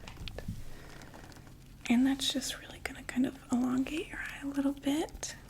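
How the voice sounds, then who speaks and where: A woman whispering a gentle, soothing ASMR roleplay as a friendly makeup saleswoman, often repeating words softly. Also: sits high, steady tone, normal speed, gravelly. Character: friendly female vampire door-to-door makeup saleswoman.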